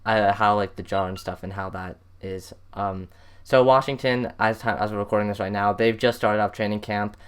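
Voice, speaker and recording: Smooth, lowish-voiced American male podcast host. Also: steady tone, moderate pace, fairly bright tone. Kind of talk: casual sports interview.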